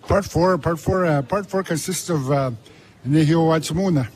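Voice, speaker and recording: Man, native Cree speaker, slightly high voice, halting, repeats words. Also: darkish tone, breathy edge. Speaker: author being interviewed. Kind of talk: casual interview talk.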